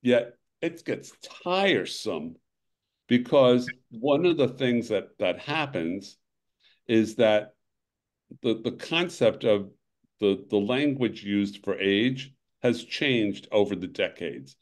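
An older man hosting a webinar over a video call, talking in a halting way that restarts phrases and sounds worn out. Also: fairly low pitch, expressive. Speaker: webinar host. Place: home room, indoors.

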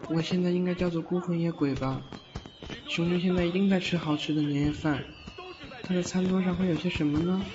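A man's voice: high-pitched, fairly even intonation, fairly quick, background noise, close to an everyday voice. A man voicing a lonely young ghost, musing wistfully in an audio drama. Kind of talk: Chinese audio drama acting. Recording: dull lo-fi audio, loud background sound.

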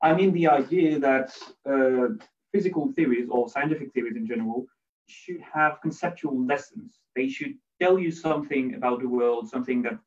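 A man's voice: medium pitch, normal speed. Man giving a talk over a dull distant call. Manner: hesitant, restarts phrases. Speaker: PhD student giving a talk. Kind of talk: academic presentation. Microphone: video call.

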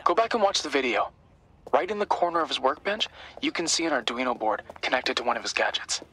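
An actor playing an earnest, caring young tech helper in a video game, eager and explanatory. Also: male voice, medium pitch, animated, quick pace, bright, forceful tone, slightly rough. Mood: helpful, focused. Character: earnest, worried young male tech-savvy sidekick. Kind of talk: cinematic game dialogue.

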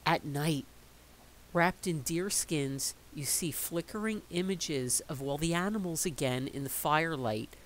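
A middle-aged woman reading a picture book aloud in a slow, expressive storytelling voice. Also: deep voice, slight background noise, rough recording.

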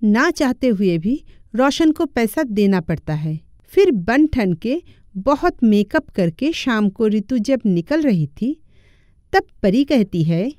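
A woman's voice: fairly high pitch, big pitch swings, slow, a little mellow, no echo, studio quality. A woman giving scripted narration for a cartoon moral story.

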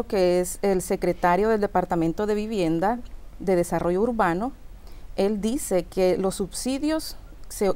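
A woman's voice: mid-range voice, warm tone. A middle-aged woman presenting a community television programme as its host.

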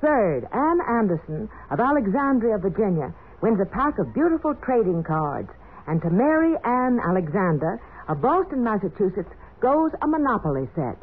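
A woman announcer reads out prizes in 1940s children's radio style. Her voice is husky and very lively in pitch, on a muffled, tinny old recording. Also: moderate pace.

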